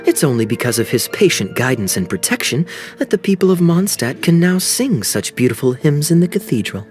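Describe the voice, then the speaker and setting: An actor playing a suave, wily young male captain in a video game, with a smooth, teasing, theatrical delivery. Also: fairly high pitch, very animated, brisk, a little mellow, faint music behind. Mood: sly, probing.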